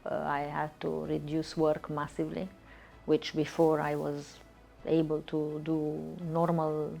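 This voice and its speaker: A woman's voice: low voice, completely flat delivery, unhurried, gravelly, warm tone, faint music behind. A woman answering interview questions as a patient, hesitant and full of fillers and repeated words. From Romania.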